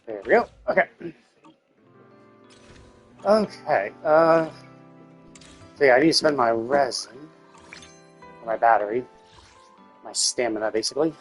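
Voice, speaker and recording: A man livestreaming a video game with casual, sweary, exasperated commentary in a husky voice. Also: medium pitch, animated, a little slow, pauses often, soft background music.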